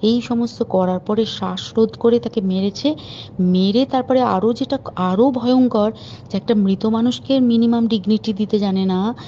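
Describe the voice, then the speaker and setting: A woman's voice: fairly high pitch, steady tone, normal speed, mellow, slight background noise. A woman giving an emphatic, indignant, informal account as a caller on a recorded phone call.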